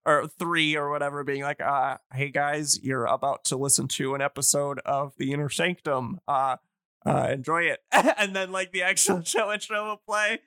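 Bright, clear-voiced man chatting. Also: a little high, steady tone, moderate pace, no echo, studio quality. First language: English. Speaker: podcast host explaining radio history. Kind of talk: casual podcast chat.

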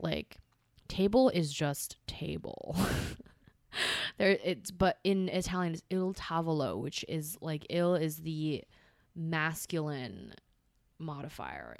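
A woman's voice: deep voice, gravelly, darkish tone, no room sound. A woman in her twenties whose mother tongue is Chinese, chatting loosely as a podcast guest with frequent swearing and rambling asides. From grew up with Chinese. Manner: rambling, profane, laughing asides. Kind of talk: casual conversation.

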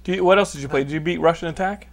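A man in his thirties hosting a podcast, trading excitable, laughing banter full of swearing. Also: a little high, very fast, creaky. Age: 30s. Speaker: podcast host. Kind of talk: casual chat.

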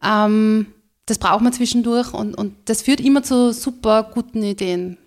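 A chatty Austrian woman with a fairly high voice, speaking as an interview guest. She talks openly and a little loosely, dotting her sentences with fillers. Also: a little slow, darkish tone. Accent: Austrian German. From rural Styria, Austria. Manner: chatty, candid, full of fillers and laughs. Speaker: guest, business co-founder. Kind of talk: casual interview talk.

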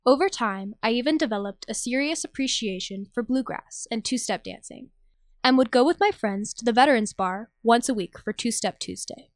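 A woman's voice: fairly high pitch, normal speed, dry recording. A young American woman from Tennessee reading a scripted personal audio essay as its narrator. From Nashville, Tennessee, USA. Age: young adult. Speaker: narrator, journalism student.

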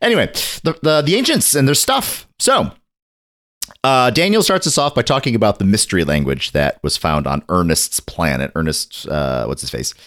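A man talking with a lot of lift and swing in his voice, jumping from deep asides to high, excited bits. He's a bit gravelly from a head cold and keeps riffing and joking through his rundown. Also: normal speed. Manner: animated, jokey, drifts into bits and riffs. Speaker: podcast co-host. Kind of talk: casual chat.

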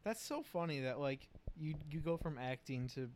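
An easygoing young man chatting on a podcast with a curious, relaxed manner. His voice sits at a middle pitch. A faint hum of noise stays behind him throughout. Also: moderate pace. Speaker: podcast co-host. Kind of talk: casual chat.

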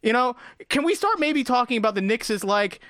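A man co-hosting a sports podcast, talking in an animated, rambling way with many restarts. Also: very high-pitched, a little fast, no room sound, studio quality. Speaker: podcast co-host. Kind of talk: casual comedic sports chat.

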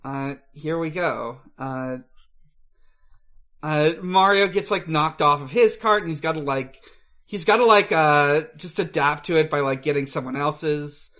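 Halting, higher-pitched man, unhurried podcast co-host. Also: pushed and bright. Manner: halting, hesitant, searching for words. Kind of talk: casual film recap chat.

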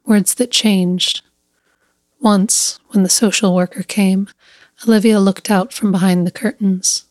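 A woman narrates a literary short story at an unhurried pace. Her pitch sits in the middle range, and she sets each short phrase apart with deliberate care. The recording is close and dry. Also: steady tone, a little mellow, no echo.

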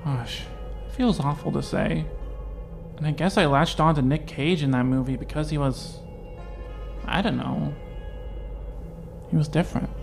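A man playing an anxious young man in a fan audio drama, talking in a halting, rambling, self-questioning way. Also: a little high, gravelly, soft background music. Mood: reflective. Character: anxious young man facing his identity.

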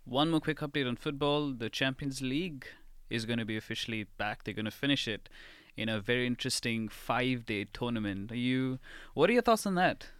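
A man's voice: mid-range voice, brisk, hardly any pauses, a bit bright, clean tone, no echo, studio quality. A man co-hosting a casual podcast, chiming in with short remarks. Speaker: podcast co-host. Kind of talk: casual chat.

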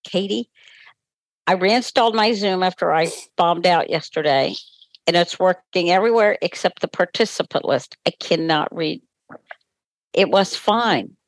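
A woman's voice: fairly low pitch, a little slow, dry recording, low-quality recording. A chatty, apologetic woman on a video call, helping run a class. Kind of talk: casual chat.

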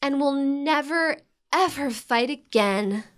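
A teen big sister in an audio drama, high voice, unhurried, blunt and dramatic. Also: female voice. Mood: fiercely fond. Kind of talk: audio drama acting, naturalistic dialogue.

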